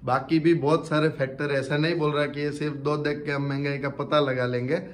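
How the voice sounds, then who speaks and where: Young man, slightly high voice, chatty and repetitive as he explains point by point. He talks close to a phone camera in a home living room, like a relaxed family vlogger. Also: brisk. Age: young adult. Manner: chatty, explains step by step with repeats.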